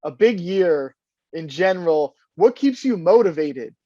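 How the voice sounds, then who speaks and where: A man interviewing a guest, his voice sitting a little high and sounding dull, as if through a low-grade mic. The sound is dry with no room in it, and he keeps nodding along with quick "yeah"s. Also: animated, dark tone, no echo. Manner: affirming, frequent "yeah" backchannels. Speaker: interviewer, producer. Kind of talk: casual interview chat.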